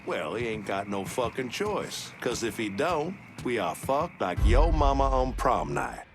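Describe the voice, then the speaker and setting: A man playing a gruff, foul-mouthed gang henchman with a blunt, crude way of talking. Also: mid-range voice, big pitch swings, measured pace, noisy background. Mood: crude resignation.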